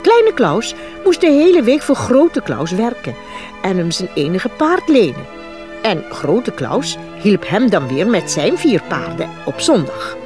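A woman's voice: medium pitch, sing-song, unhurried, music in the background. A woman narrating a fairy tale from a script as a storyteller.

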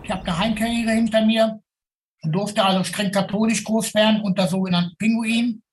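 A man's voice: high-pitched, fairly even intonation, fairly quick, low-quality recording. A middle-aged man from the Ruhr speaks like a street-level city guide. He is blunt and colloquial, giving a candid, unscripted account. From Ruhr area, Germany. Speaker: city tour guide, interviewee. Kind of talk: casual, candid spoken account.

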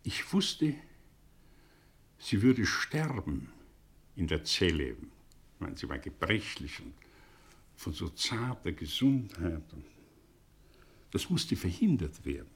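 Learned broken professor, radio play, halting emphatic delivery, wide swinging pitch, slow, husky. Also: male voice, sing-song, full character voice. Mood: bitter, resigned. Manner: theatrical, halting, emphatic, literary phrasing. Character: learned male professor, broken, confessing with bitter fatalism. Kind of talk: German radio play acting.

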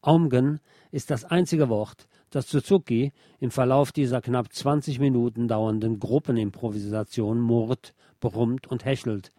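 Man, medium pitch, soft dark tone, scripted radio narration, articulate literary delivery. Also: no echo, studio quality. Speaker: radio presenter reading a music feature.

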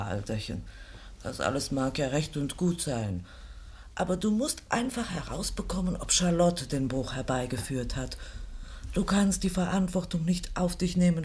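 A woman's voice: low voice, darkish tone. A woman playing an elderly provost's wife in a literary radio drama, fondly teasing and firm with a touch of mock complaint. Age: older.

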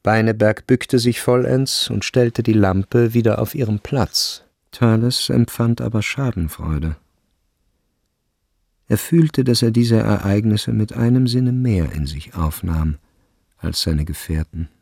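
A man's voice: lowish voice, slow, vocal fry, darkish tone, no echo, clean studio sound, full character voice. A man narrating a literary radio drama in a sober, scripted manner.

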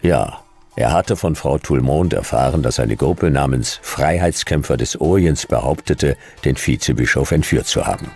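Hard-boiled detective of fifty, very low pitch, creaky gravel, laconic, sardonic noir radio play. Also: male voice, moderate pace, a little mellow, soft background music, full character voice. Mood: dry narration. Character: world-weary, hard-boiled fifty-year-old male detective narrating his case. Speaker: lead character and narrator. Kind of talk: noir radio drama narration and dialogue.

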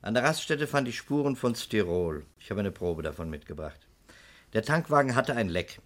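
An actor playing a shrewd, composed insurance investigator in a 1960s German radio crime drama, methodical and politely insistent. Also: male voice, on the low side, lively intonation, moderate pace, a bit bright. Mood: assured, explaining.

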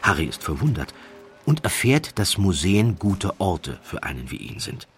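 A man reading a scripted literary story as an audiobook narrator, in a measured, deliberate storytelling manner. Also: low-pitched, very animated, faint music behind.

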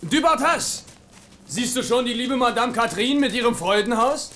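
An actor playing an eager, proud eighteen-year-old prince in a German radio play. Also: male voice, extremely high pitch, animated, moderate pace, a bit bright, a little hiss or hum. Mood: teasing.

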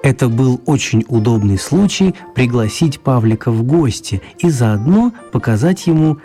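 A man's voice: medium pitch, expressive, moderate pace, warm tone, soft background music, natural voice. A man narrating a children's radio play as a scripted storyteller.

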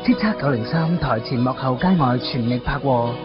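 A punchy male announcer with a Hong Kong Cantonese accent opens a radio drama in a mid-pitched voice. Loud guitar music plays under him on a dull, lo-fi recording. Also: moderate pace. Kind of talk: promo announcement.

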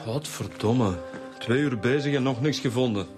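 A man's voice: medium pitch, normal speed, music in the background, close to an everyday voice. A Flemish man playing a down-to-earth homicide detective in a radio drama, talking casually and colloquially. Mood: gruff, curious. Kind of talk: Belgian radio police drama acting.

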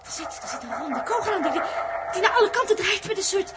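An excitable young Dutch spacewoman in a 1960s science fiction radio play. Her voice is extremely high, with nervous stammers. The vintage recording has clear noise. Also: quick pace. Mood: frightened, frantic. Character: anxious, giggly young female space crew companion. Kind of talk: 1960s radio drama acting.

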